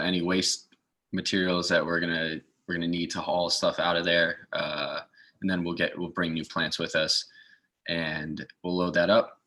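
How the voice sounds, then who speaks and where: A man's voice: low voice, pushed and bright. A man from the northeastern United States speaking as a guest in an informal interview, explaining things. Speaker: guest speaker, garden maintenance assistant.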